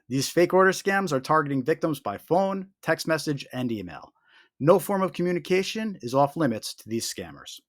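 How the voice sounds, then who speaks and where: A man reading a scripted explainer as host, with emphatic, deliberate delivery and short warning statements. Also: mid-range voice, fairly bright tone, no echo, studio quality. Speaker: host of a scam awareness video.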